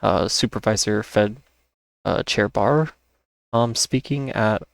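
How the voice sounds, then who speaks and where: A man with a lowish voice full of fry delivers an informal, explanatory market rundown. He sounds like he is losing his voice, and he restates key points as he goes. The sound is dry, with very little room in it. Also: slow pace, no room sound. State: losing his voice, with occasional coughing. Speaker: host of a stock market analysis channel.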